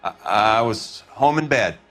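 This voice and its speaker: An actor playing a beleaguered working-class mechanic and husband in a 1940s game drama, plain-spoken, wounded and defensive. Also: male voice, on the low side, lively intonation, measured pace, fairly bright tone. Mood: distraught denial. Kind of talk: video game drama acting.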